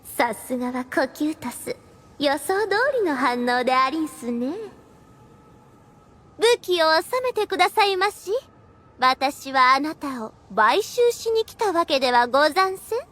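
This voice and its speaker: A woman's voice: sits high, lively intonation, unhurried, fairly bright tone. A woman voicing a sly, coquettish vampire girl in an anime audio drama, coaxing in affected archaic courtesan speech.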